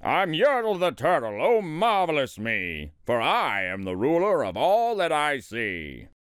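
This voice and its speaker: A man voicing a boastful, power-hungry turtle king from a children's storybook, with pompous, commanding, drawn-out proclamations. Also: on the high side, wildly swooping pitch, a bit bright, smooth, no echo, studio quality, heavily acted. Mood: gloating.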